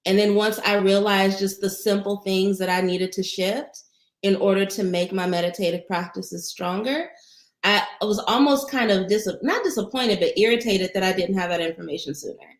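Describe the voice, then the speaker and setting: A woman with a soothing, gentle voice speaks to her viewers as a meditation teacher. She is encouraging and unhurried, pitched in a middle range. Her voice rings a little in a roomy space. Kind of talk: casual livestream Q&A. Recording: roomy echo.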